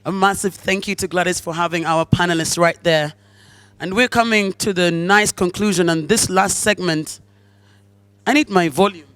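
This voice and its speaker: A woman with a Ugandan accent emceeing a leadership summit in a hotel conference hall, loud and playful, calling out to the audience. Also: moderate pace. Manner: booming, playful, calls out to the room. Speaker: event emcee. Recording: echoey hall, mic from far off. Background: crowd of attendees.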